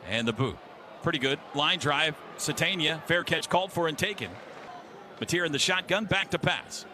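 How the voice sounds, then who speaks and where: A man's voice: fairly high pitch, normal speed, bright, forceful tone. A man with an American accent calling football play-by-play on radio in clipped short phrases. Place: stadium broadcast booth. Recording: live radio sportscast with faint noise.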